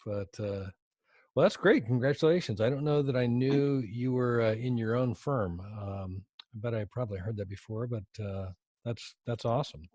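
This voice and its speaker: A man's voice: medium pitch, moderate pace, a little mellow, no room sound, lo-fi sound. A man hosting a podcast, introducing his guest and asking questions in a friendly, chatty way with many hesitant fillers. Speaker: podcast host. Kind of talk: podcast interview.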